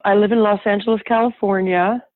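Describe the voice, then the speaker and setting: Through a thin, band-limited line comes a woman with a mid-pitched voice and a dry sound. She is a native French speaker from Paris, talking as an interview guest. Her delivery is conversational personal storytelling. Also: steady tone, fairly quick, no room sound, phone-quality sound. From born and raised in Paris, France, to American parents. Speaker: interview guest, health advocate.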